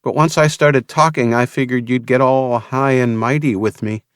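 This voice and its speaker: A man's voice: mid-range voice, steady tone, moderate pace, smooth, no room sound, clean studio sound, voice pushed up, natural voice. A male narrator voicing a guarded, streetwise young woman who is tempted by money. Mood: wary. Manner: cynical, guarded.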